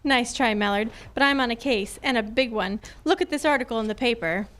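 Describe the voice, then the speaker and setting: A woman's voice: a little high, a bit bright, a little hiss or hum, natural voice. A woman acting a sassy, wry female private detective in an old-time radio detective drama. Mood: teasing. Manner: wry, brisk, teasing. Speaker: amateur radio club performer.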